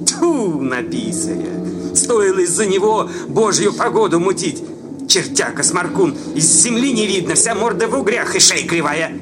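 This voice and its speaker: Superstitious sexton, jealous, sputtering, animated swinging intonation, theatrical radio play, high voice. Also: male voice, normal speed. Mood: indignant ranting. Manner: accusing, sputtering, sing-song exclamations. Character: jealous superstitious village sexton, ranting at his wife. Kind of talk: theatrical radio drama dialogue. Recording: loud music behind the voice.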